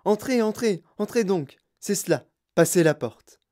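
Narrator performing elderly mischievous chocolatier, clear fluty high voice, effusive, excitable, repeating pleasantries, storybook character. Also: unhurried, lightly acted. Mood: effusive delight. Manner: excitable, repeats himself in courteous bursts. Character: sprightly, mischievous old chocolatier, gleeful and effusive.

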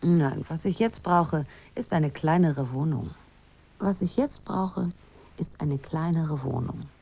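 An actress playing a pensive, newly separated woman in a radio drama, musing in a breathy voice that drifts toward a whisper. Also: sits low, slow, mellow, heavily acted. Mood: pensive, wistful. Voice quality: husky, creaky.

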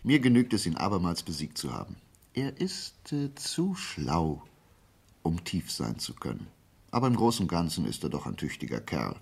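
An actor playing a shrewd, wry, self-assured detective in a German radio play, with a husky voice. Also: male voice, sits low, huge pitch swings, moderate pace, darkish tone, exaggerated voice. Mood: wry, satisfied.

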